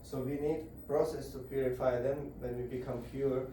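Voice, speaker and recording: A man's voice: mid-range voice, little pitch movement, dark tone, slight background noise. Man lecturing far off in an echoey room. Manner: halting, searching for words. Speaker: lecturer at a devotional program. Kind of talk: devotional scripture lecture. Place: indoor gathering room.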